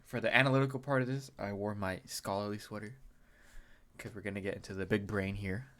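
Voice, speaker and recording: Male video host, bright nasal tone, under the weather, casual and chatty breakdown of a match. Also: on the low side, fairly even intonation, fairly quick. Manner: chatty, clears throat often, restarts. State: sick, slightly nasal. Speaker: YouTube host analyzing a game replay. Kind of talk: casual game analysis commentary.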